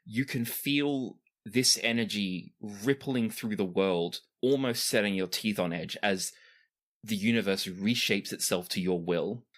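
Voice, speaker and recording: A man's voice: fairly low pitch, unhurried. A man acting as game master, improvising descriptive narration for a tabletop game with casual asides.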